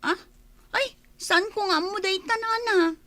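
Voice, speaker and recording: A woman's voice: extremely high pitch, measured pace, sharp tone, no echo, exaggerated voice. A woman with an Ilocano accent playing a worried, nagging village wife in a radio comedy drama. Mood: anxious, questioning.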